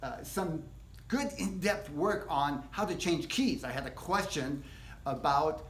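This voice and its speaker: A man teaching a casual live guitar workshop, explaining things step by step in a relaxed, rambling way. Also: fairly high pitch, a little slow, slight background noise. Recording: echoey room.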